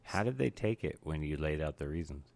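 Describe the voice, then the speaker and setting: A man's voice: very deep voice, lively intonation, fairly quick, creaky, a little mellow. A man in his thirties hosting a casual chat, easygoing and asking follow-up questions. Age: 30s.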